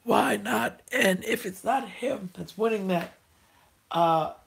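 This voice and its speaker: Hoarse, raspy-voiced man. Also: sits high, slow, a bit bright. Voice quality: raspy, gravelly. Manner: rambling, many ums and restarts, clears throat often. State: hoarse, losing his voice. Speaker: wrestling vlogger. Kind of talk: casual prediction monologue.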